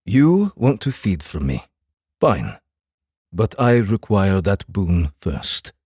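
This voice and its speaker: A guarded samurai in a dramatized fantasy audiobook. His voice is dark and husky, his phrasing formal and measured, with a calm air of command and a lively rise and fall. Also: sits low, no echo, studio quality, full character voice. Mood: grave, guarded.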